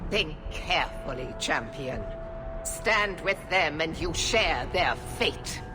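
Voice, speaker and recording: Stern female villain, fantasy game commander: imperious, highly animated delivery over loud music. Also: slow. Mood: threatening. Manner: imperious, declamatory. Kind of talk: fantasy video game voice acting.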